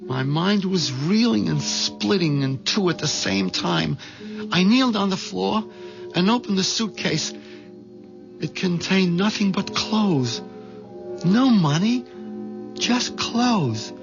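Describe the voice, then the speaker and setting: An actor playing a timid, hen-pecked middle-aged husband, hesitant and stammering, in a 1970s radio drama. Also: male voice, high-pitched, lively intonation, takes it slowly, gravelly, heavily acted. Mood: anxious narrating. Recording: dull 1970s radio recording, music underneath.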